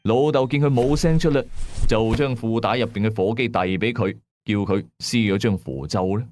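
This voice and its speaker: A man's voice: lowish voice, normal speed, a little mellow, no room sound. A man with a Hong Kong Cantonese accent narrating a first-person horror story as a storyteller.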